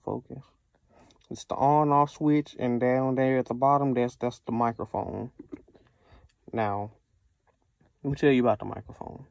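American man, mid-pitched voice, conversational, casual product review, repeats points for emphasis, dry close recording. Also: a little mellow, no room sound.

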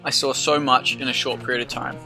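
Reflective Kiwi voice-over over music. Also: male voice, mid-range voice, a little fast, creaky, rough recording. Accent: New Zealand. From New Zealand. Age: 20s. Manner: calm, reflective storytelling. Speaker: narrator. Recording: voice-over with music playing underneath.

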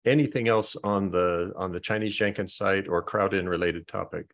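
A man hosting an online project meeting, talking through updates in a halting way and often repeating words as he thinks. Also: low voice, steady tone, gravelly. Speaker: meeting host.

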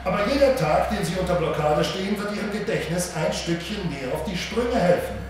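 Villainous male fleet commander for a radio play, played by a man: condescending menace, higher-pitched, heard at a distance in echo. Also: fairly quick, crowd noise, close to an everyday voice. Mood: mock-polite menace. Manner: condescending, mock-polite, threatening. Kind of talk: German audio drama acting. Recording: echoey, distant, noisy spaceship hangar ambience.

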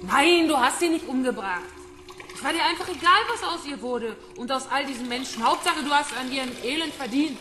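A woman's voice: sits high, fairly quick, sharp tone. An actress playing a cold young woman in a crime radio play, mockingly taunting her captive. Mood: cold mockery. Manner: mocking, coolly detached. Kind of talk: radio crime drama acting. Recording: roomy echo, water noise behind.

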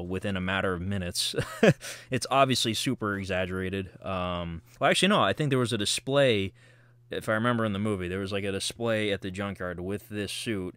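Deep, smooth-voiced man chatting casually. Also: fairly bright tone, dry recording. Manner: rambling, many ums, chuckles often. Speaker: collectible unboxing vlogger. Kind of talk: casual unboxing chat.

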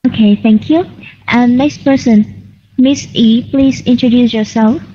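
A woman teaching an online English class, correcting students patiently and clearly. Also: fairly high pitch, a little slow, mellow. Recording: lo-fi online call audio.

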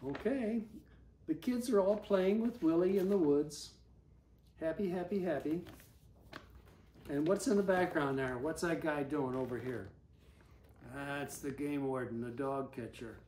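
An older man in about his seventies reads a storybook aloud to a small child, pausing for gentle asides and questions. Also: a little high, roomy sound, a little hiss or hum. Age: older, about 70s. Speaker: story reader. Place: living room armchair, indoors. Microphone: camera a few feet away.

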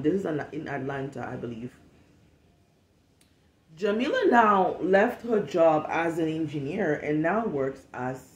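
A woman's voice: sits low, reverberant. A woman in her late twenties from Cameroon, English not her first language, talking candidly and casually on her podcast, swearing freely, seated at home and filmed on a phone. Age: 20s. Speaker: podcast host. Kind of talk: casual monologue. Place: home room, seated at a table.

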